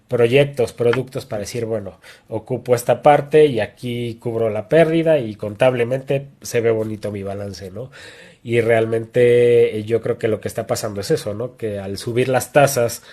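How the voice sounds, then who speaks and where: A man's voice: medium pitch, a little mellow. A man co-hosting a finance podcast in casual discussion, explaining step by step and closing his points with a questioning tag. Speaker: podcast co-host.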